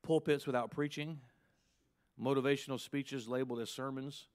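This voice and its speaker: Fifties male pastor, emphatic pulpit delivery, climbs high on key points, quick asides. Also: fairly even intonation, darkish tone, clear, resonant tone. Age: 50s. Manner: emphatic preaching with rising, punchy peaks and quick asides. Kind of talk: sermon to pastors.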